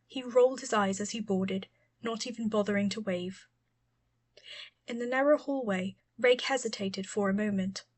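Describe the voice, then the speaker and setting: A woman narrates an audiobook from a script. Her voice sits at a middling pitch as she reads the story. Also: moderate pace.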